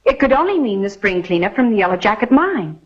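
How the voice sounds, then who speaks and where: Szczerą młodą telegrafistkę, lekko chropawy głos. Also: female voice, medium pitch, big pitch swings, fairly quick, husky. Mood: earnest. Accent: American. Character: earnest, wistful young blind telegraph operator in Old West. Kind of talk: old American TV western acting. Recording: dull vintage TV western soundtrack.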